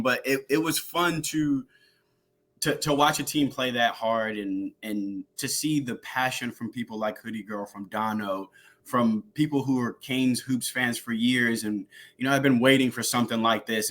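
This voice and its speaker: A young man guesting on a sports podcast over a video call, an excitable storyteller who laughs often. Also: medium pitch, moderate pace. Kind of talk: casual sports podcast chat.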